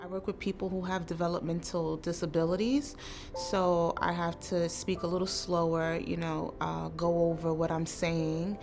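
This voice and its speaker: A woman with a New York accent, a student in an interview testimonial, explaining calmly. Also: fairly low pitch, moderate pace. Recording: soft music underneath. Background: background music.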